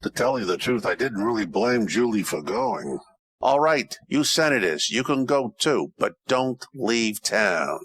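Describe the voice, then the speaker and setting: An actor playing a hard-boiled, wisecracking Roman private eye, wry and tough-talking, in an old-time radio detective parody. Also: male voice, fairly low pitch, lively intonation, unhurried, a bit bright, husky, no echo, full character voice. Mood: weary.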